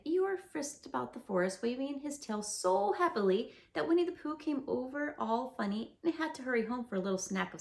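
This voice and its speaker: A woman reading a children's storybook aloud with an expressive, sing-song delivery. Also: a little high, vocal fry. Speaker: read-aloud narrator.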